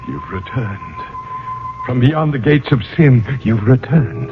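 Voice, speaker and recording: A man's voice: mid-range voice, big pitch swings, takes it slowly. An actor playing a centuries-old nobleman's ghost in a radio drama, anguished and remorseful, faltering theatrically and repeating his phrases. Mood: awed. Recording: muffled old radio broadcast, music behind.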